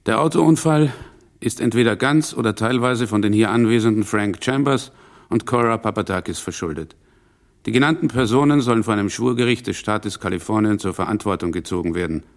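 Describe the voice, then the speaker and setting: Stern, low-voiced judge played by a man. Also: a little fast, natural voice. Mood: official, solemn. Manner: formal, probing, official. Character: stern, formal male courtroom judge. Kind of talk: German radio drama courtroom scene.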